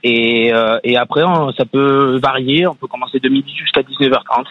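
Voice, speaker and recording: A man's voice: medium pitch, steady tone, normal speed, dull audio. A man giving casual interview answers as a beach worker, hesitant and often searching for his words. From Italian and Spanish descent.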